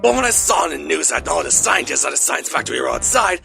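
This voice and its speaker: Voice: mid-range voice, big pitch swings, fast, creaky, pushed and bright, faint music behind, exaggerated voice. An actor voicing a boastful, pompous male Triceratops thief in a cartoon fandub. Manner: pompous, grandstanding. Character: Houdino, boastful thieving male Triceratops villain.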